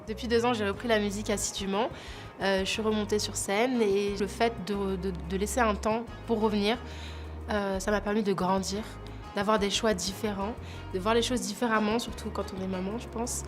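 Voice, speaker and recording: A young woman in her twenties, soft-spoken and a little reserved, pausing often as she talks about herself. Her voice sits in a middle range, with music playing behind her. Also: medium pitch. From France. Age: 20s. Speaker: talent show contestant. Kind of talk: interview to camera.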